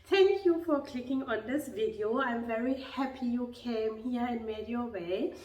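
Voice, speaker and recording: A woman vlogger with a husky voice, chatting casually and hesitantly with many ums as she compares two handbags. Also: fairly high pitch, a little slow, noisy background. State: husky from a lingering illness. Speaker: beauty vlogger. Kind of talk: casual bag comparison vlog. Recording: roomy sound from a distance.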